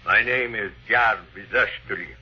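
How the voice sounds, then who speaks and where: An actor playing a grave, resentful foreign engineer in a 1950s radio drama, speaking formally and deliberately. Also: male voice, fairly low pitch, husky, faint music behind. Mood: solemn, restrained. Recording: muffled old radio broadcast recording.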